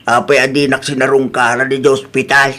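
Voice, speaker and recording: A man's voice: medium pitch, flat delivery, normal speed, bright, forceful tone, lightly acted. A man with an Ilocano accent playing a hurt, weary elderly father in a family drama. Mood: hurt, reproachful. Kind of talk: Ilocano comedy drama dialogue.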